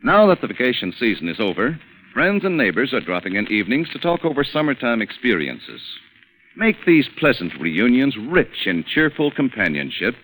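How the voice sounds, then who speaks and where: A man's voice: medium pitch, fairly bright tone, rough recording. Swooping American vintage radio ad man. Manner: polished, emphatic. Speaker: radio commercial announcer. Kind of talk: 1940s radio advertising read. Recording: vintage radio recording with faint noise.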